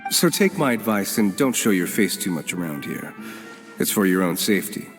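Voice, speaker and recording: A man's voice: sits low, very animated, moderate pace, over music. A man playing a guarded, cynical underworld figure in an anime game, dry and knowing in his delivery. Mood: cautioning.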